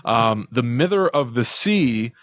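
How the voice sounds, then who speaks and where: A man co-hosting a podcast, explaining things in a casual, halting way as he thinks aloud. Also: mid-range voice, expressive, fairly bright tone. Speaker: podcast co-host.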